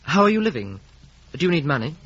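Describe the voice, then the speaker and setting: An actor playing an uneasy, proud young gentleman, formal and dramatic in a 1950s radio drama. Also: fairly high pitch, big pitch swings, fast, breathy edge, full character voice. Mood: reserved, polite. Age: young adult. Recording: dull vintage radio recording.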